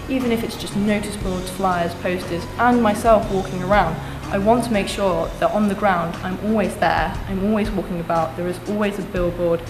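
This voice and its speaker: A young woman making an earnest, confident campaign pitch as a student election candidate, restating her points in lists. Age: young adult. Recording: echoey room with music behind.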